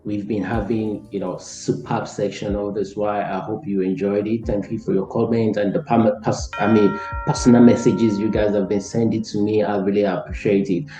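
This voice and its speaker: A man's voice: on the low side, little pitch movement, normal speed, few pauses, dark tone, faint music behind. Earnest man hosting in an echoey room. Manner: earnest, pleading, repeats words. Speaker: host. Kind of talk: motivational interview intro.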